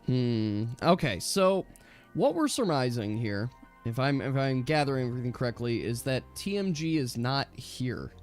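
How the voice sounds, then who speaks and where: A man chatting casually and at length as a gaming stream host, emphatic and animated, often correcting himself. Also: medium pitch, normal speed. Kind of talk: casual rambling gamer chat. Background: background music.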